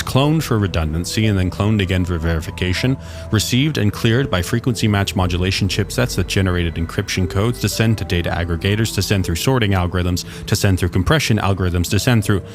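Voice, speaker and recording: Male narrator, lower register, reading scripted sci-fi fiction with prominent music underneath.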